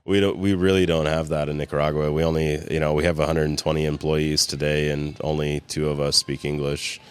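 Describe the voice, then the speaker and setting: A man's voice: a bass voice, little pitch movement, a little fast, smooth. A man from California, a guest in a casual interview, rambling with lots of fillers and restarts and chuckling often. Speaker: guest, cigar company co-founder.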